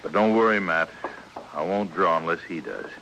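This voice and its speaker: An actor playing a proud, resigned Old West husband, plainspoken and grave, in 1950s radio western style. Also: male voice, low-pitched, expressive, normal speed, gravelly. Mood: grim resignation. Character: stubborn, resigned frontier husband bound by honor. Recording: noisy, crackly old radio recording.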